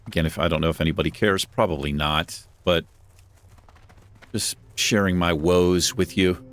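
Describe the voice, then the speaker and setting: A man with a deep voice hosting a gaming channel, chatting casually and self-deprecatingly while he plays. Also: fairly even intonation, moderate pace, no room sound. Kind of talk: casual gameplay commentary. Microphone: desk microphone.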